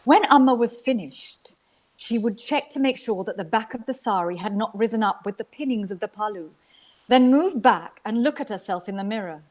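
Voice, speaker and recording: A woman from Sri Lanka reading literary fiction aloud as an actor, with measured, expressive storytelling. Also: a little high. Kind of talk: dramatic literary narration.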